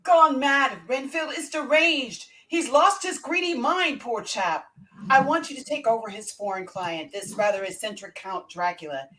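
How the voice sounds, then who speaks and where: A woman's voice: fairly high pitch, moderate pace, fairly bright tone, voice pushed up, natural voice, rough recording. A woman voicing a pompous, formal male employer, reading a script in an emphatic, theatrical way. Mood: emphatic, brisk. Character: brisk, pompous senior male lawyer, an employer. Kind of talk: amateur script read-through.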